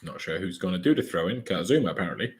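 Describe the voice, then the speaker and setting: A man's voice: low voice, lively intonation, fast talker. A young Austrian man, a native German speaker, giving casual live commentary on a football match and reading out viewer comments. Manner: chatty, opinionated, reads viewer comments aloud. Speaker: fan watchalong streamer.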